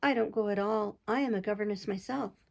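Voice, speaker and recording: A sweet, modest young governess from a storybook, acted by a woman reader. She speaks innocently and earnestly. The voice has a creaky, husky edge. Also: mid-range voice, brisk, a little mellow. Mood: bashful. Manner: bashful, innocent, hearty.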